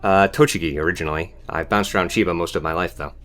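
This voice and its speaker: A sly teenage boy, acted by a man with a low voice. He talks in a dry, offhand, sarcastic way, as a character in an audiobook drama. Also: fast, fairly bright tone. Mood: offhand, guarded.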